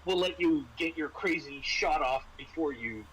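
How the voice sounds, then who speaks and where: A man narrates a tabletop horror game as its game master. He talks casually and haltingly, doubling back on words as he explains rules. There is faint noise on the recording. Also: on the high side, fairly bright tone, low-quality recording. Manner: halting, repeats words and phrases.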